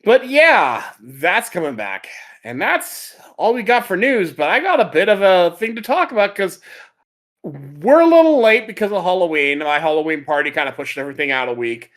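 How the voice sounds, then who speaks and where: Male podcast host, mid pitch with very lively intonation, loose and enthusiastic fan chat. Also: normal speed. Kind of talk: casual chat about anime.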